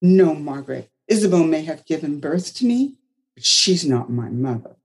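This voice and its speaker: A woman's voice: mid-range voice, animated, moderate pace, warm tone, voice pushed up, natural voice, poor audio. A woman voicing a distraught young mother who is bitter and defensive.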